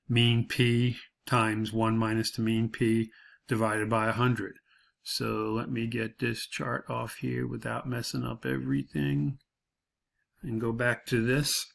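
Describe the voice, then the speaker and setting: A man's voice: medium pitch, gravelly, a bit bright. A man giving an off-the-cuff tutorial as an instructor, explaining step by step and rephrasing his points. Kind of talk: off-the-cuff tutorial walkthrough of a spreadsheet.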